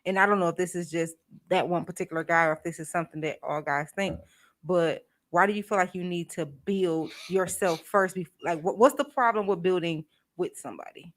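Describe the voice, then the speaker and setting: Casting: woman, lowish voice, questioning and animated, casual podcast chat. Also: brisk. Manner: questioning, animated when quoting others.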